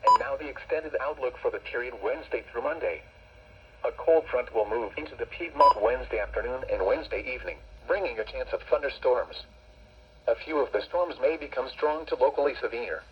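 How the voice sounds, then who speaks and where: A man's voice reading a scripted weather bulletin in a measured, formal, impersonal way through a radio speaker. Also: mid-range voice. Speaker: weather radio forecast announcer. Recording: dull lo-fi radio, loud noise.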